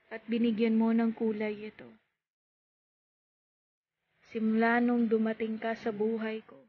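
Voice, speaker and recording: A woman with a Filipino accent performing heartfelt spoken-word poetry in short, deliberate phrases with pauses. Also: a little high, slight background noise. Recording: tinny phone-like sound.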